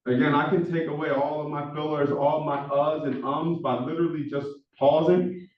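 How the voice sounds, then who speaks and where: Instructor, male, Philadelphia accent, quick and full of fillers, a little higher voice, picked up from across an echoey classroom. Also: far from the mic. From Philadelphia, USA. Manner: talks fast with many fillers, says he is monotone. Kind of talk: classroom lecture. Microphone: far room microphone. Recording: echoey classroom recorded from afar, dull sound.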